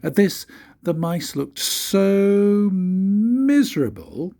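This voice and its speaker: An older man, a grandfather, reading a children's storybook aloud in an expressive, unhurried way with dramatic pauses. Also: high voice, gravelly. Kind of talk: storybook narration.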